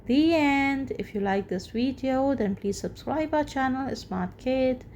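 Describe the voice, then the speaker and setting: A woman reading a children's storybook aloud as the storyteller, with expressive, deliberate phrasing. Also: high-pitched, muffled recording, slight background noise.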